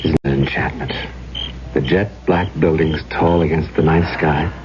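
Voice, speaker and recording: An actor playing a courtly, mysterious, melancholy gentleman with poetic, theatrical phrasing in an old-time radio drama. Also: rumbling low, fairly even intonation, normal speed. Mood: courtly enchantment. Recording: dull, noisy old radio recording.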